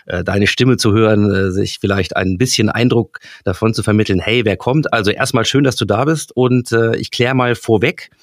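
A man's voice: fairly low pitch, moderate pace, hardly any pauses, dry recording, clean studio sound. A man hosting an interview, asking questions in a chatty way with hesitant fillers. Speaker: interviewer, podcast host.

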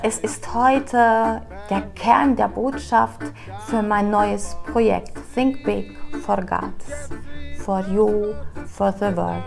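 Middle-aged woman, gravelly candid voice. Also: medium pitch, steady tone. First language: German. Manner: candid, reflective, with restarts and pauses. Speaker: interviewee, children's ministry worker. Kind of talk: personal interview testimony. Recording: music playing underneath.

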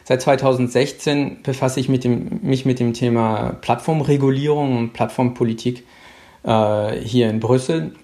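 A middle-aged man from Hamburg, a guest speaking over a phone line, answering in a halting way with many fillers. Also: medium pitch, fairly even intonation, mellow. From Hamburg, Germany. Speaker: guest, policy official. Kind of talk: interview answers.

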